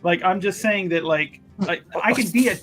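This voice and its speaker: A man's voice: sits high, normal speed, a bit bright, soft background music. A man playing a tabletop roleplaying game, rambling in self-assured, improvised banter and casual table talk.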